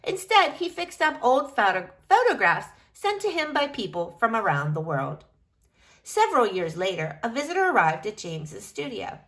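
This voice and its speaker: A woman reading a picture book aloud in an expressive, deliberate storytelling style. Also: fairly high pitch. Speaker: reader of a children's picture book.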